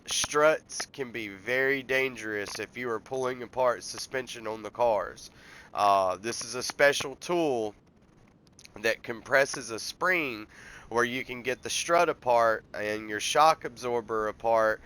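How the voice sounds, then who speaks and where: A man's voice: medium pitch, bright, forceful tone, clear, resonant tone. A man, congested from a cold, casually walking through a car-repair game step by step in a rambling, folksy way. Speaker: gameplay tutorial host.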